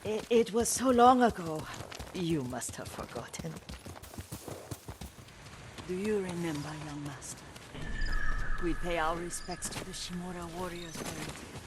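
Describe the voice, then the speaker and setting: An actress playing a frail, wistful elderly Japanese retainer in a video game, gentle and deferential, with a thin, breathy voice. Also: female voice, lowish voice, a little slow, pauses often, heavy background noise, close to an everyday voice. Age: older. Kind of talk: dramatic video game acting. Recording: music underneath. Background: game music.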